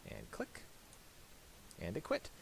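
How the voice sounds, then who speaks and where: A man narrating a casual software tutorial, thinking aloud in a halting way. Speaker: tutorial presenter. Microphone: voice-over for a screen recording. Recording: hissy amateur voice-over.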